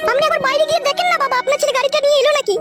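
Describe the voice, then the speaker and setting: A woman playing an anxious young village wife in a comedy cartoon, with an extremely high, squeaky voice. She urges and soothes very quickly, with music behind. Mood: anxious, urging. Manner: urging, soothing. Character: caring, anxious young daughter-in-law.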